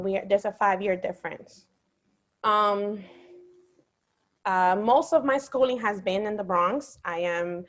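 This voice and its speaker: A woman from the Dominican Republic talks slowly over a video call. She tells her story as a panel graduate in a casual, hesitant way, often stopping to find her words. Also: medium pitch. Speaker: graduate speaker on a college panel.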